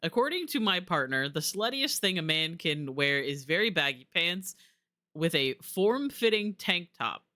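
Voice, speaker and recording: A man's voice: fairly high pitch, sing-song, unhurried, pushed and bright, no echo. A man giving casual live commentary as a game streamer, talking into a close mic.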